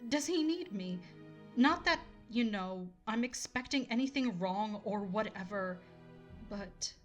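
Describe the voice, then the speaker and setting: A woman playing a fierce, lonely young fire dragon with a hot temper in an audio drama, forceful and defensive. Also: mid-range voice, expressive, unhurried, faint music behind, natural voice. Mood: anxious, hopeful.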